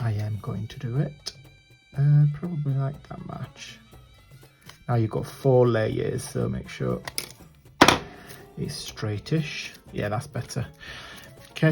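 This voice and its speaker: A man with a croaky voice hosting a casual craft tutorial, chatting and apologizing as he works with his hands at a desk. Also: mid-range voice, dark tone.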